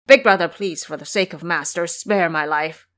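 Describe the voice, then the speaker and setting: A woman's voice: lowish voice, normal speed, fairly bright tone, natural voice. A woman voicing a cowardly, wheedling pig-monster disciple in an audio drama, whining and grovelling as he pleads. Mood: begging.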